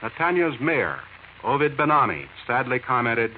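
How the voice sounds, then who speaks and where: A man with an American accent gives news commentary in a 1940s radio style. His pitch is middling. The recording is narrow and tinny with faint noise behind him. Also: very animated, moderate pace.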